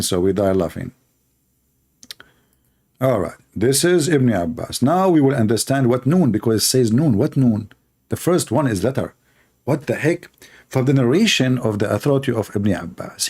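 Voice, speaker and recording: A man's voice: darkish tone, slightly rough. Sarcastic man with Arabic roots, mocking. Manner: sarcastic, laughing, theatrical swings in pitch. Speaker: religious debate streamer. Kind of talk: mocking live commentary.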